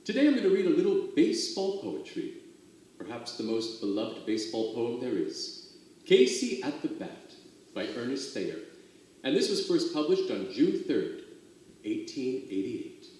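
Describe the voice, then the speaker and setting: A man giving a dramatic poetry recital, with expressive, theatrical storytelling and drawn-out emphasis. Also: medium pitch. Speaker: reader of poetry for a theater company. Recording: very echoey, roomy sound.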